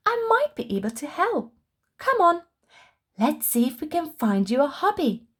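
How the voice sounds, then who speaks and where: A woman's voice: high-pitched, big pitch swings, normal speed, voice pushed up. A woman voicing an ever-cheerful, helpful male storybook character in a friendly, eager way.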